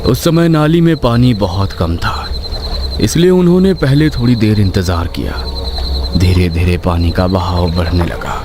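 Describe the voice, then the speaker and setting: A man telling a scripted horror story in a dramatic, suspenseful manner with pauses for effect. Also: on the low side, background noise.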